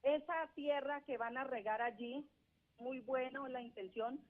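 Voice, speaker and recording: Woman caller, earnest and pleading, higher voice, on a tinny phone line. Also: a little slow. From Colombia. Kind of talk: phoned-in question. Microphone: telephone.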